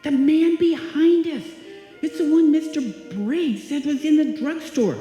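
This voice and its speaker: A woman's voice: high voice, unhurried, mellow, faint music behind. A woman playing a nervous, panicky small-town lady in a live old-time radio drama, fretful and dramatic. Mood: panicked. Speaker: amateur radio play actor. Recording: very echoey hall, live stage performance.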